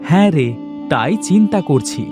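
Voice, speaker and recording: A man's voice: fairly high pitch, animated, normal speed, lightly acted. A man playing a poor, weary fisherman and tender father in a fairy-tale cartoon, speaking plaintively and expressively. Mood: tender, worried. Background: music.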